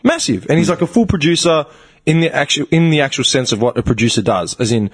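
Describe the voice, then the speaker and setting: Casual male talk host, thirties, raspy and animated, blunt slangy delivery full of swearing. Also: on the high side, fast talker. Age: 30s. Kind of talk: casual banter.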